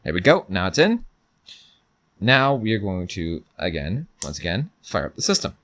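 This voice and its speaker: A man's voice: sits low, very animated, normal speed, fairly bright tone. A man presenting a hands-on tech review, informally talking through each step as he goes. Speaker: video reviewer.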